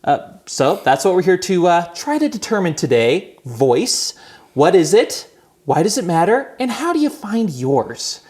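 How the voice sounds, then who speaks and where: Lively, wry male podcast host. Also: high voice, a little slow. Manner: wry, self-deprecating humor. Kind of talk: scripted intro, then casual chat.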